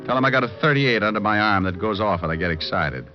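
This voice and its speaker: Actor as a deep-voiced hardboiled private eye. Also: male voice, expressive, fast talker, close to an everyday voice. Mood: menacing. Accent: American. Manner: wry, hardboiled. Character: tough wisecracking male private detective, menacing. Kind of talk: 1940s-50s radio acting, hardboiled noir narration. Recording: muffled old-time radio recording with music.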